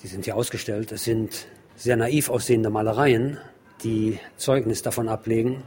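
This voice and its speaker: A man with a fairly low voice narrates an exhibition report as a presenter. He explains things in a measured manner, choosing and placing his phrases deliberately. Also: darkish tone.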